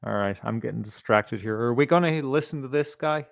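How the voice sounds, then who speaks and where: A man's voice: mid-range voice, a little fast, gravelly, no room sound. A middle-aged man with an Irish accent hosting a casual live stream, swearing freely as he rambles and hesitates through his commentary. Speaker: livestream host.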